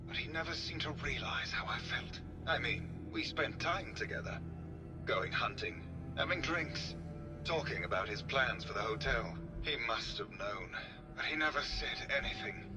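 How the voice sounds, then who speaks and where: An actor playing a theatrical, pretentious old robot artist in a video game, with florid, affected, dramatic speech. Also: male voice, mid-range voice, expressive, moderate pace, lightly acted. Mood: wistful. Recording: loud music behind the voice.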